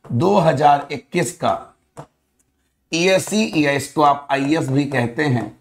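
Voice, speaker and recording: Indian guy teaching a live engineering class, sore throat, a bit high-pitched, slow and drawn out, lapel mic. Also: gravelly. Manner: energetic, repeats greetings, mixes Hindi and English. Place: indoor studio in front of a backdrop.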